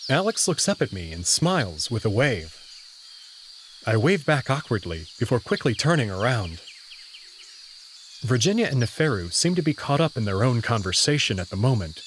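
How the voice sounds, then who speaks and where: A man's voice: mid-range voice, a bit bright, a little hiss or hum. A man narrating a scripted first-person story as its main character. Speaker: narrator and reader of the player character.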